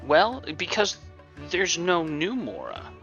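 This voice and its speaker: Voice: medium pitch, huge pitch swings, slow, fairly bright tone, muffled recording. A streamer giving casual, joking, flippant commentary over a video game. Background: game music.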